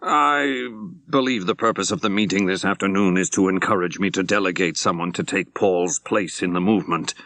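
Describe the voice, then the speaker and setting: A man voicing a troubled senior bishop in an audiobook, speaking in a formal, hesitant, deliberate way. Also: low voice, fairly bright tone. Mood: careful, uneasy.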